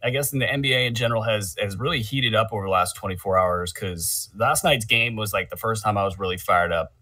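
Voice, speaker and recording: Bright-voiced man, a bit off-mic. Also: fairly low pitch, fast, no echo, far from the mic. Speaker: podcast co-host. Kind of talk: casual fantasy basketball chat.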